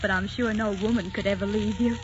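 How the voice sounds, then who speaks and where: An actress playing a tender, devoted young wife in a 1940s radio drama, earnest and romantic. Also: female voice, medium pitch, fairly even intonation, fairly quick, slight background noise, natural voice. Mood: soothing, adoring. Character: tender, devoted, frail twenty-three-year-old wife of a writer. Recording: dull vintage 1940s radio recording, music behind.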